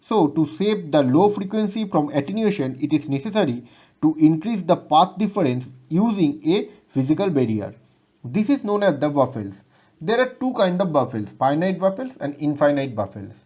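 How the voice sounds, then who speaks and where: A man's voice: a little high, sing-song, normal speed, dark tone. A man with an Indian accent giving a formal, scripted technical lesson as a lecturer, in a recited textbook manner. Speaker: lecturer presenting an electronics lesson. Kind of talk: scripted educational presentation.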